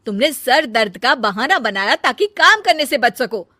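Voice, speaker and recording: An earnest, dutiful elder daughter-in-law from a cartoon story, voiced by a woman, proper and sincere. Her voice sits fairly high, and the recording is dry and close. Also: sing-song, moderate pace, sharp tone, dry recording, heavily acted. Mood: scolding. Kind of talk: cartoon voice acting.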